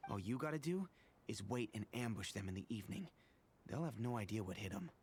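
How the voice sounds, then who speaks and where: An actor voicing a joking, excitable male mercenary in a video game, talking loosely and teasingly. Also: fairly low pitch, fairly quick, slight background noise, natural voice. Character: jovial, teasing male mercenary brigade member.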